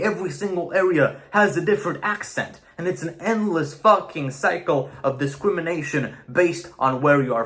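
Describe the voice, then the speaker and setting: A man's voice: fairly high pitch, fairly bright tone. Blunt young man, Romanian native speaker. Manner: earnest and blunt, swears for emphasis. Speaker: video essayist. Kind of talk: opinionated monologue to camera.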